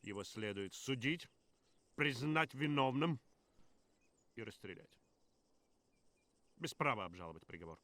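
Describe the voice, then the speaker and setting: A man's voice: medium pitch, animated, normal speed, long pauses, a bit bright, dry recording, natural voice. A man acting as an irate, domineering Soviet general in a video game cutscene. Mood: stern, commanding.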